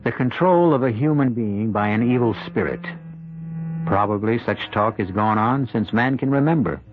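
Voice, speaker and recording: A man narrating a mystery tale for old-time radio in a grave, deliberate, ominous and dramatic manner. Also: medium pitch, lightly acted. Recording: muffled old radio recording. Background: dramatic music.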